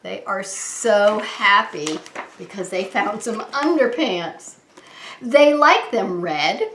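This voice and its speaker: Preschool teacher lady doing story time, slow sing-song voice talking to little kids, echoey room. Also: on the high side. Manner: animated, sing-song, talking to small children. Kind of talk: children's story time read-aloud.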